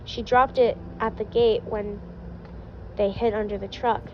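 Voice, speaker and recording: A woman's voice: mid-range voice, fairly even intonation, a little slow, slight background noise. A woman reading fan fiction aloud as scripted narration. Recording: dull lo-fi audio. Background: music.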